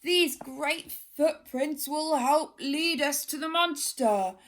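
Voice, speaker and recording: A woman's voice: high voice, takes it slowly, a bit bright, voice pushed up. A woman voicing a bossy but cowardly male lion from a storybook, commanding and encouraging. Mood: bossy, approving. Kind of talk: children's storybook character voice.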